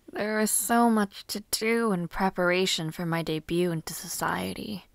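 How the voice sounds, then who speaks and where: Young lady mage for audio roleplay, female actor: slightly low pitch, unhurried delivery, genteel, cheerful, headstrong. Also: fairly even intonation, creaky, dry recording. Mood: weary, musing. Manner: genteel, old-fashioned, chatty phrasing with soft laughs and sighs. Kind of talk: scripted audio roleplay drama.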